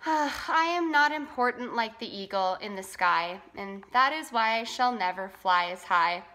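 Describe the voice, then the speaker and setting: A woman's voice: very high-pitched, sing-song, measured pace, sharp tone, voice pushed up, full character voice, rough recording. A woman voicing a small, humble female roadrunner in a children's storybook, wistful and plaintive. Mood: dejected. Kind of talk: children's storybook read-aloud.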